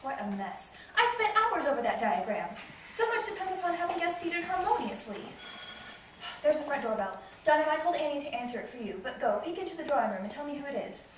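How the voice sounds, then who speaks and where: A woman's voice: sits high, sing-song, fairly quick. A woman acting the part of an imperious, snobbish society hostess and mother in a stage comedy, melodramatic and easily enraged. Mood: flustered, irritated. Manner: melodramatic, indignant, commanding. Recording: echoey room, distant noisy mic.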